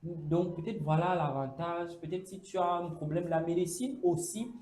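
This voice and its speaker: A high-pitched man talks through an idea on a campus talk show. He keeps the tone mellow and stops often on fillers. The room around him rings with echo. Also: moderate pace. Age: 30s. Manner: hesitant, many fillers, acts out quoted speech. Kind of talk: casual debate on a campus show. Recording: echoey room.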